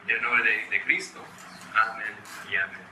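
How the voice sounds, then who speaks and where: Man, American accent, very bright voice, quick with lots of restarts, roomy far-off sound. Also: on the low side, steady tone, a little hiss or hum. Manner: fast-talking, frequent restarts and repeated words. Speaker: children's ministry trainer. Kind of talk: training talk to church workers. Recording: echoey room from far off.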